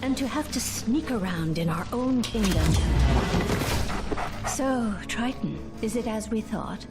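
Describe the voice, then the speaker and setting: Regal game queen, fairly high voice, formal, unhurried, music behind. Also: expressive. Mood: grave. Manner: formal, stately. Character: regal, composed queen of a superhero royal family.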